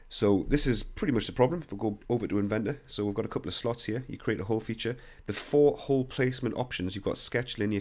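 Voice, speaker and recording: A young man with a croaky voice, bluntly talking through a CAD software screen recording. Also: on the low side. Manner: blunt, opinionated, conversational. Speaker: CAD software tutorial host.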